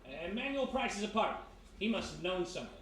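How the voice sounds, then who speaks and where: Guy playing an urgent private eye, high sharp voice, old radio mystery, echoey room. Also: very animated, quick pace. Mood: resolved. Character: anxious, hot-headed male private detective, former thief. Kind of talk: 1940s radio mystery acting, live on stage. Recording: echoey live room, faint noise.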